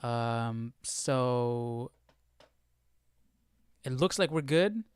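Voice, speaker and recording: A man hosting a live Bible study stream, talking informally and haltingly as he thinks aloud. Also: mid-range voice, animated, smooth, dry recording, studio quality. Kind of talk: casual livestream chat.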